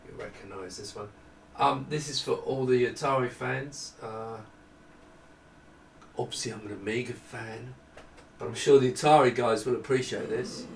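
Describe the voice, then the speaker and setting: A man's voice: on the low side, a little hiss or hum. A middle-aged man giving a casual, rambling, self-deprecating hardware demonstration, chatting off the cuff. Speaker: presenter demonstrating retro computer hardware. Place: small indoor room at a desk. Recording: roomy, distant camera microphone.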